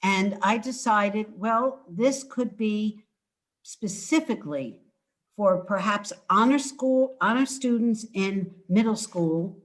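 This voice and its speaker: An older woman giving an informal book talk over a video call from home, halting and emphatic as she speaks. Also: medium pitch, low-quality recording. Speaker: author presenting her book. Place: indoors at home.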